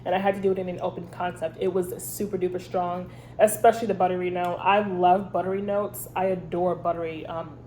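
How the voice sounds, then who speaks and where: A woman's voice: on the low side, little pitch movement, fairly quick, few pauses, mellow, slight background noise. A woman with a head cold and a stuffy, congested voice, chatting casually as host of a review vlog with lots of ums. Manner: chatty, rambling, many ums. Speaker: candle review channel host.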